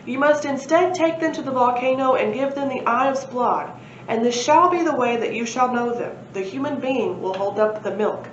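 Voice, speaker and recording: Woman putting on a loud, mysterious prophetic voice. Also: a little high, moderate pace, pitched above the performer's own voice, natural voice. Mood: solemn. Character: mysterious disembodied prophetic voice from the air. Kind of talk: children's storybook read-aloud. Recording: roomy, muffled, faint background noise.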